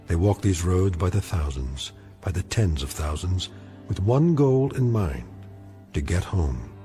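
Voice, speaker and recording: An American man giving solemn, measured dramatic narration for a radio play. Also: deep voice, dark tone. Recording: music under narration.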